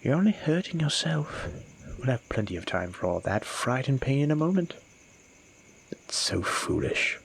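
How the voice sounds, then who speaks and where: A man voicing a sadistic male monster in an audio roleplay, slow and taunting, slipping into breathy whispers. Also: medium pitch, sing-song, a little hiss or hum, poor audio. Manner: teasing, menacing, slow and savoring.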